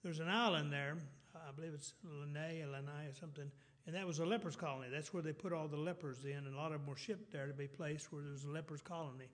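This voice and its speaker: A middle-aged preacher with a Texas drawl, his voice sitting a little high for a man. He works through his sermon in loose, rambling sentences, doubling back and restarting often. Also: steady tone, fast, few pauses, a bit bright. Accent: Texan. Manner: rambling, many restarts and fillers, clears throat.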